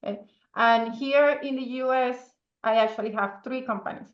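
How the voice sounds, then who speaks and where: A woman's voice: a little high, moderate pace, echoey room, muffled recording. A woman from Venezuela with a strong Spanish accent, speaking English as a second language as a guest in a casual interview, often checking in with "okay?" and laughing easily. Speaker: podcast guest, entrepreneur.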